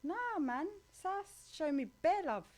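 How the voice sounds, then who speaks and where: A woman's voice: sits high, very animated, slow, a little mellow. A woman with a London accent in her thirties, chatting informally and using slang as a guest in a casual interview. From East London, England. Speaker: guest on a talk show.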